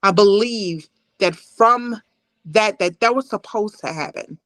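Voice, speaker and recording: A woman in her thirties telling a personal story as an interview guest on a video call, deliberate and emphatic, repeating phrases. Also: mid-range voice, fairly bright tone, no room sound.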